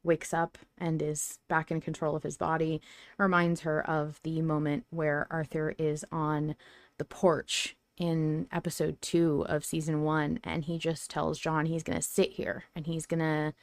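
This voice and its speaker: Low-voiced woman hosting a casual podcast. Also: no room sound. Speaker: podcast host.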